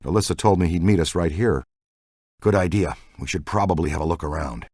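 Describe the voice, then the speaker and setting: A man's voice: sits low, fairly quick, darkish tone, husky, dry recording, clean studio sound. A man voicing an eager, good-natured ghost-hunting scientist in a video game, earnest and technical. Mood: earnest, explaining. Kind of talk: video game dialogue, battle shouts and banter.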